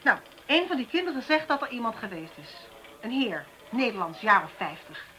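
A woman playing a brisk, inquisitive and sympathetic police detective in a naturalistic radio drama. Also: on the high side, sing-song, bright, forceful tone, low-quality recording. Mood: pondering. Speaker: actor in a radio play.

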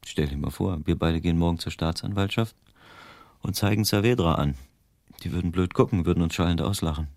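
A private detective in a radio drama, very low in voice and dryly recorded. He is world-weary and wry, laying things out in blunt, emphatic everyday language. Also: fairly quick, a little mellow, dry recording, clean studio sound. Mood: wry, dismissive. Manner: emphatic, explaining, colloquial. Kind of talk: German radio drama acting.

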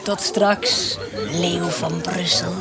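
A woman's voice: fairly low pitch, steady tone, very slow, over loud music. A woman playing a teasing, coaxing, flirtatious brothel hostess in a Dutch radio play. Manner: teasing, coaxing, chuckling often. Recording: loud tavern crowd behind dialogue.

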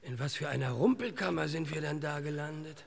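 An actor playing a grumbling, cheeky working-man sidekick who makes blunt deadpan wisecracks in an old radio crime comedy. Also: fairly high pitch, brisk, gravelly. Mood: cheeky, dry. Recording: reverberant old radio play, faint hiss.

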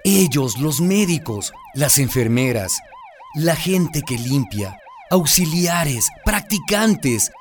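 A man's voice: a little high, huge pitch swings, slow, slight background noise, heavily acted. An actor playing a plain-spoken man recalling the pandemic in a radio drama, reflective, emphatic and indignant. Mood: somber, admiring. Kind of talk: dramatized first-person narration.

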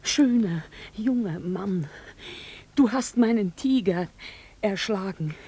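An actress playing a devout, mystical mother in a theatrical radio drama, speaking solemnly and with a breathy voice. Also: mid-range voice, lively intonation, dark tone, heavily acted. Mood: pleading, blessing. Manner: slow, solemn, incantatory. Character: devout, pleading mother of an animal-keeper family, mystical.

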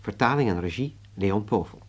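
A man's voice: fairly low pitch, animated, normal speed, breathy edge. A man giving a formal, scripted radio announcement, reading out credits.

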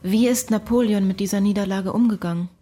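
Woman playing a mellow-voiced, sceptical questioner. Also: medium pitch, steady tone, fairly quick, close to an everyday voice. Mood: curious. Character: sceptical woman questioner, matter-of-fact, dismissive. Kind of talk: radio play dialogue.

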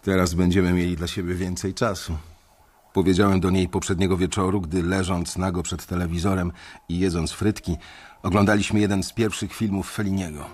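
A man narrating in the first person in a radio drama, measured and reflective. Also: low-pitched, level tone, a little mellow.

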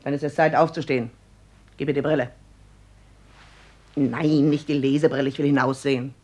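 A scornful, nagging older wife, heard a little off-mic in a radio play. Her voice sits low and husky. She orders and sneers in short, clipped remarks. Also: steady tone, normal speed, darkish tone. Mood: sour nagging. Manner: scornful, bossy.

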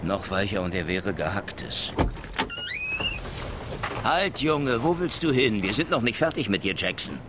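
An actor playing a tough, sardonic police detective, commanding and menacing, in a German radio crime drama. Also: male voice, fairly low pitch, big pitch swings, measured pace, pauses often, heavy background noise.

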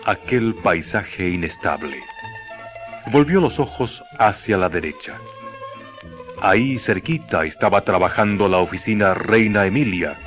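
A man's voice: low-pitched, fairly bright tone. A man narrating a radio drama in a solemn, literary, dramatic manner.

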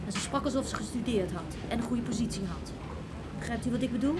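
A woman's voice: on the high side, quick pace, crowd noise, lightly acted. An actress playing a curt, defensive young female cashier in a radio play, on a noisy old recording.

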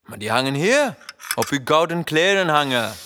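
An actor playing a fussy, orderly, deferential imperial chamberlain with a Flemish accent in a children's radio drama. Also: male voice, fairly high pitch, sing-song, moderate pace, bright, forceful tone, slight background noise. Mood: fussing, urgent.

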